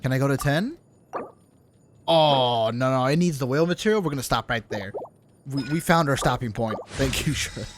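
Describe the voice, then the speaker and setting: A man's voice: mid-range voice, moderate pace, a little hiss or hum. A man doing casual, joking game livestream commentary, swearing now and then as he talks to his chat. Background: video game music and sounds.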